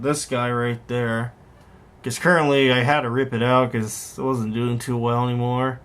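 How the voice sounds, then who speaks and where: A man giving casual, unscripted show-and-tell commentary in a rambling, conversational way, often correcting himself as he goes. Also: mid-range voice. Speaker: hobbyist vlogger, host.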